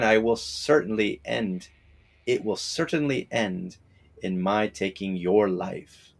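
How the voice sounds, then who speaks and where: A stern archer officer from a folktale, as a male storyteller reads him. He speaks formally and with solemn emphasis, a wronged father facing his foe. The voice has a creaky, gravelly grain. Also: medium pitch. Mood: stern, threatening.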